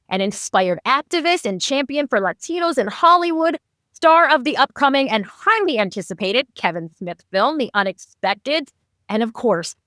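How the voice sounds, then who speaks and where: A woman's voice: a little high, fairly bright tone, dry recording, studio quality. A woman hosting a podcast, introducing and interviewing guests in an upbeat presenter's style. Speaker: podcast host.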